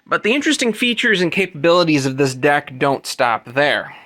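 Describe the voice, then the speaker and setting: A man presents a technical demonstration in a somewhat high, bright voice. He explains things methodically and in detail, speaking off the cuff and correcting himself as he goes. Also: sing-song, brisk. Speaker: presenter demonstrating vintage audio gear.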